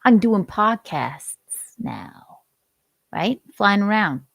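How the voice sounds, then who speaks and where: A woman's voice: mid-range voice, sing-song, slow, gravelly, a bit bright, dry recording, muffled recording, full character voice. A woman voicing a violent, unrepentant male hawk in his forties who boasts about attacking bald men. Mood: menacing. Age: 40s. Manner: gleeful, unrepentant, menacing boasts.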